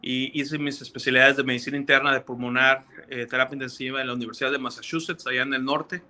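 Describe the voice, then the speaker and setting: A man's voice: mid-range voice, flat delivery, fast, pushed and bright. A man from Mexico with a Mexican accent, a physician explaining things earnestly in a video-call interview, with many fillers. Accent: Mexican Spanish. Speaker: physician guest.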